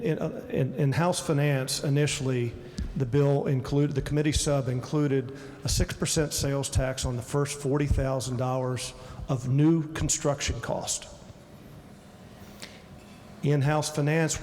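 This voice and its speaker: A man legislator giving a measured, explanatory floor speech with frequent fillers. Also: medium pitch, darkish tone, a little hiss or hum. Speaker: state delegate. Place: legislative chamber. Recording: long hall reverb, faint ambience.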